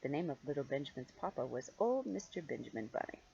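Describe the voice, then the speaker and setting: A woman's voice: extremely low pitch, big pitch swings, fast talker, creaky, warm tone, husky, highs cut off. An older woman reads a storybook aloud like a grandmother, expressively and playfully, drawing out key words and adding chatty asides. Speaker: grandmother reading stories to children.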